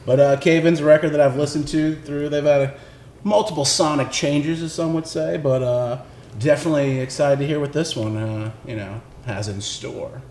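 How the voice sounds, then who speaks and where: A man chatting casually and unscripted about his picks, rambling and halting as he finds his words. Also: a little high, a little mellow. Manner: halting, rambling, laid-back phrasing. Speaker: recording engineer presenting record picks. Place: indoors in a record shop. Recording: roomy echo, faint background noise.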